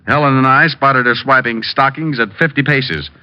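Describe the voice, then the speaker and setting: An actor playing a cool, wisecracking private detective with a dry, needling manner, in 1940s radio style. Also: male voice, mid-range voice, normal speed, bright, forceful tone. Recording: dull vintage radio recording, music behind.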